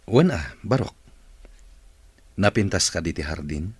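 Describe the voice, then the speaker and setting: A man playing a kindly, grateful master of a mansion in an audio drama, husky-voiced, courteous and quietly authoritative. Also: deep voice, expressive, a little slow, no room sound, exaggerated voice.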